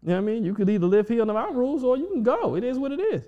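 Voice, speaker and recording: A man's voice: extremely high pitch, animated, very fast, narrow, tinny audio. Reflective man in his forties. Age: 40s. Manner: reflective, many restarts and fillers. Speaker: guest. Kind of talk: casual conversation.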